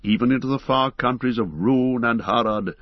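A man reading the part of a grave, weary heir of kings, speaking calmly and earnestly. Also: medium pitch, normal speed, no room sound, lo-fi sound, lightly acted. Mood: dignified. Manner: calm, dignified, earnest.

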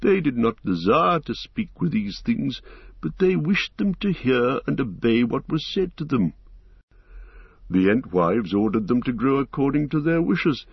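A man voicing an ancient, slow-spoken male tree giant, unhurried and musing, with a gravelly, husky voice. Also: mid-range voice, big pitch swings, dry recording, lo-fi sound, full character voice. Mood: storytelling.

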